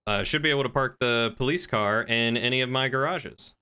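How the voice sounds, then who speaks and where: A man streaming a roleplay game, chatting casually and talking in character in a wry, measured way. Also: mid-range voice, bright, forceful tone, clean tone. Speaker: game streamer playing a crime boss.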